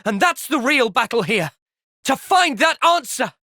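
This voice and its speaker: A young man playing an earnest, thoughtful young soldier hero in a fantasy video game's cutscenes, with expressive delivery. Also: very high-pitched, a little slow, no echo, clean studio sound, heavily acted. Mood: urgent, distressed. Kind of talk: video game cutscene acting.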